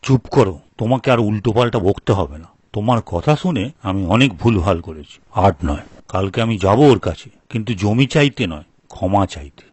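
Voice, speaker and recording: Man acting a husky, expressive village elder brother. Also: lowish voice, normal speed, few pauses, dry recording. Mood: stern. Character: grudging, later remorseful elder brother, village trader.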